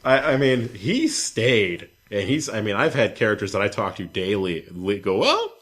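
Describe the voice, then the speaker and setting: Low-voiced man hosting a gaming podcast. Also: very animated, normal speed, a bit bright. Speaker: podcast host. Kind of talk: casual gaming podcast chat.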